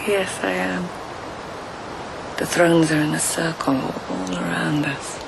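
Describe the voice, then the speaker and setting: An actress playing a dreamy, tender, observant court lady in a radio drama, musing in a hushed, breathy way. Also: lowish voice, fairly even intonation, slow pace, background noise, full character voice. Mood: dreamy, intimate. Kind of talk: intimate radio drama acting.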